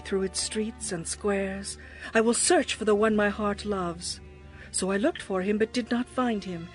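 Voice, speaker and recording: A woman acts out a young bride in a dramatized audio scripture. She is adoring and full of longing, speaking tenderly and poetically at a middling pitch. Soft music sits behind her words. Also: lightly acted. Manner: expressive, poetic, tender delivery.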